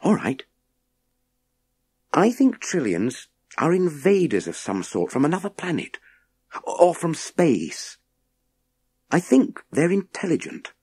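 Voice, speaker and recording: A man voicing an eager, earnest young boy who speaks in a halting, urgent way. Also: a little high, huge pitch swings, darkish tone, breathy edge, dry recording, voice pushed up, full character voice. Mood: earnest, thoughtful.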